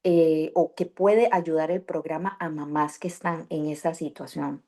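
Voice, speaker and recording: A woman with a low-pitched voice hosts a conversational interview. She talks reflectively, pausing on fillers and restarting her sentences as she works through her thoughts. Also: steady tone, dark tone. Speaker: podcast host and bilingual parenting coach.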